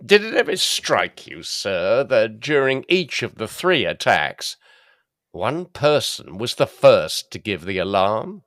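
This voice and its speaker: Dogged police detective, man acting, low swooping voice. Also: big pitch swings, a bit bright, no echo, studio quality, exaggerated voice. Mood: earnest, suspicious. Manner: deliberate, formal, deferential. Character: dogged, plain-spoken male police detective. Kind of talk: gothic audio drama acting.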